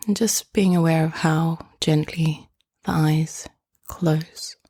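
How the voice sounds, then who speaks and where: A woman hosting and leading a guided meditation in a soft, hushed, often half-whispered voice, with gentle and inviting phrasing. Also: deep voice, steady tone, slow, breathy edge, dry recording.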